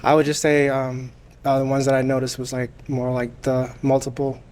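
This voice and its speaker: A man from the Bahamas on a dating show, answering in a hesitant, halting way with lots of fillers. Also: medium pitch, completely flat delivery, a little mellow.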